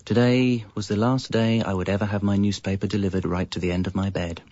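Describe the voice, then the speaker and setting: Radio comedy lead: man as idle, evasive composer narrator, deep-ish and deadpan, on a dull-sounding recording. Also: brisk, dry recording, close to an everyday voice. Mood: wry narration. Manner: dry, self-justifying. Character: lazy, evasive slacker jingle composer telling his story. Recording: slightly muffled radio recording.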